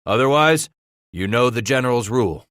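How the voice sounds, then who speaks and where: A man reading aloud, voicing a steady, plain-spoken male brigade leader with a frontier drawl. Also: medium pitch, moderate pace, dry recording, studio quality, voice pushed up, close to an everyday voice.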